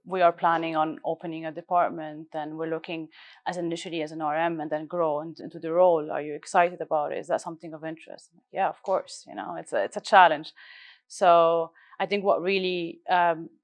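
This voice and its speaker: A woman in her thirties, originally from Lebanon, answers questions at a live industry event. Her voice sits a little low, and she talks fluently and explains in detail, with a few light fillers. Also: little pitch movement, brisk. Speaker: guest speaker, research director. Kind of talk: live panel interview.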